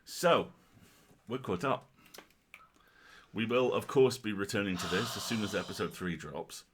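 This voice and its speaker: Deep-voiced man co-hosting a game livestream. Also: expressive, measured pace, slight background noise. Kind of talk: casual livestream chat with game narration read aloud.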